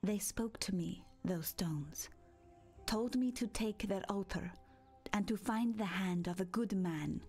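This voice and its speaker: A solemn spirit woman of a tribe, talks slowly and cryptically. Her voice sits at a medium pitch, with faint music behind her. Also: a little mellow, husky. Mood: solemn, mystical. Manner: measured, cryptic. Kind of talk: video game character dialogue.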